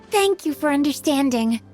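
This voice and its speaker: Deferential young game waitress, high voice, courteous and apologetic, light music behind. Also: female voice, lively intonation, takes it slowly, a little mellow. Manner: hesitant, deferential. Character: polite, apologetic young female restaurant server. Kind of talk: video game voice acting.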